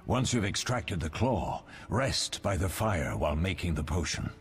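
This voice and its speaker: An actor playing a wise, fatherly older mentor of monster hunters in a video game, measured and instructive. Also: deep voice, big pitch swings. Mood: approving, instructive.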